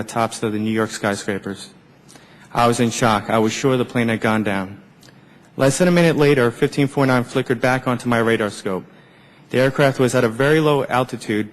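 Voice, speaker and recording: A man reading a prepared statement in a measured, formal way, as an air traffic controller giving testimony at a hearing. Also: medium pitch. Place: large indoor government hearing room. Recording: echoey hearing room with long reverb.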